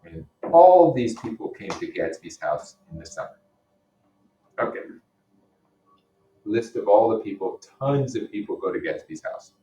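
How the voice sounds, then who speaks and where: Male teacher, deep voice, reads literature aloud with explanatory asides; roomy sound, mic at a distance. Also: very animated, a little slow, pauses often, dark tone, a little hiss or hum. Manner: explanatory, puts rhetorical questions to listeners. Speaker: teacher reading a novel aloud. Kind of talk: read-aloud with explanatory comments. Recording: roomy echo, distant microphone.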